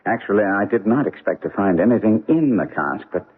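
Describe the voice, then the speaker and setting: A muffled old radio recording carries a man acting as a keen, commanding detective. His low voice swings widely in pitch as he probes deliberately and theatrically, in the manner of classic radio mystery. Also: lively intonation, a little hiss or hum, close to an everyday voice. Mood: intent, musing.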